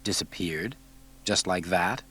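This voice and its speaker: A man's voice: deep voice, slow, fairly bright tone, breathy edge, exaggerated voice. A man voicing a cocky male federal agent who speaks mildly and wryly. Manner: mild, wry, cocky.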